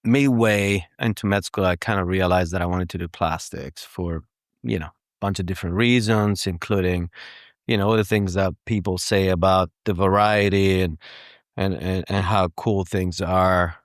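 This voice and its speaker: A man speaks in a deep voice, casually thinking aloud as an interview guest. His halting answers come through dry and close. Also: steady tone, no room sound. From Greece and Cyprus. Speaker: guest, plastic surgeon.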